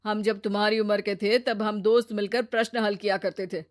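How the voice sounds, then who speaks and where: A woman's voice: mid-range voice, little pitch movement, fairly quick, no room sound, close to an everyday voice. Woman as a sensible, earnest brother-in-law, close-miked. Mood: earnest, advising. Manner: earnest, reasoning, advising. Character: sensible, patient adult brother-in-law, mildly preachy. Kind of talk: children's moral story character acting.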